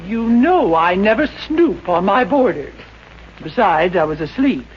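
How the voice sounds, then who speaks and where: A fretful, stingy older landlady, plaintive and fussy. Her pitch rises and drops sharply in old radio comedy style. The recording is muffled with faint noise. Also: very animated, a little slow. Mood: indignant, fussy. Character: fretful, nosy, stingy boarding-house landlady, older woman. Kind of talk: 1950s radio comedy acting.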